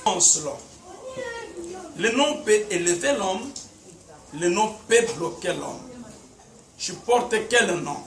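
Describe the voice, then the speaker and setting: A man's voice: sits high, expressive, takes it slowly, long pauses. A man preaching a teaching sermon as a pastor, with emphatic repetition and frequent chuckles, speaking French, which is not his first language. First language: a language other than French. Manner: emphatic, repeats words, chuckles often. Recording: echoey, distant mic, background noise.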